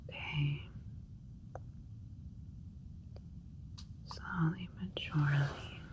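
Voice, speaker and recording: A woman voicing a calm, clinical alien doctor in a sci-fi ASMR roleplay, whispering gently and soothingly. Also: deep voice, poor audio. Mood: slow, hypnotic. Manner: gentle, soothing, deliberate, hushed.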